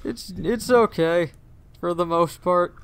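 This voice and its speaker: A man with a rather high, very clear and smooth voice co-hosts a podcast. He speaks in a casual, conversational way. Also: slow. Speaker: podcast co-host. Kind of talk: casual chat.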